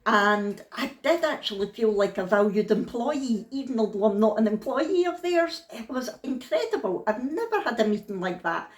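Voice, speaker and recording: A woman's voice: on the high side, very animated, normal speed, few pauses, echoey room. A woman with a thick Scottish accent, chatting frankly and down to earth in a casual vlog. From Scotland. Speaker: vlogger.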